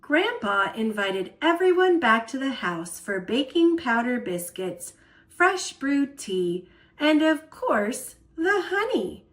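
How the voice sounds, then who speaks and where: A woman storyteller reading a children's picture book aloud in an expressive style. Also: sits high, takes it slowly.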